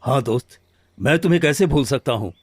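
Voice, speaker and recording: A man playing a gentle, anxious village woodcutter in a cartoon story, speaking earnestly and hesitantly. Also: mid-range voice, lively intonation, brisk, husky, no room sound. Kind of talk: scripted Hindi cartoon story dialogue. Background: background music.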